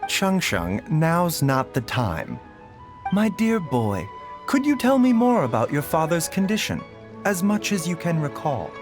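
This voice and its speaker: A soft-spoken, courteous doctor. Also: male voice, on the high side, sing-song, unhurried, faint music behind. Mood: gentle. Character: gentle, courteous male doctor. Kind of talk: video game voice acting.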